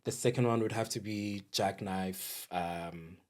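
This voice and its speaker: Male podcast guest, South African, lowish voice, chatty and informal. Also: unhurried, darkish tone. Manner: chatty, informal, peppered with 'like' and 'you know'. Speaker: podcast guest, DJ and music producer. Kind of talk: casual chat.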